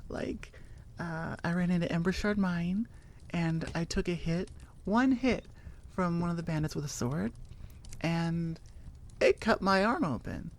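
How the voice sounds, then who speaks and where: A woman's voice: lowish voice, lively intonation, measured pace. A woman chatting casually and informally as an audio creator, rambling with enthusiasm. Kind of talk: casual rambling outro chat.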